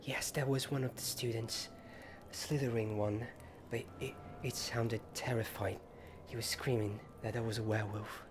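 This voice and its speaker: A man playing an anxious, urgent young wizard student in an ASMR fantasy roleplay, hushed and halting, with clear noise in the recording. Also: mid-range voice, fairly even intonation, close to an everyday voice. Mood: alarmed.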